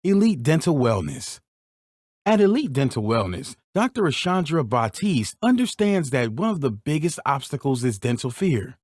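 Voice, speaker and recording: A man reading sponsor ads for a podcast in a smooth promotional delivery. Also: medium pitch, sing-song, moderate pace, darkish tone, dry recording, clean studio sound. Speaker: announcer. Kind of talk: scripted ad read.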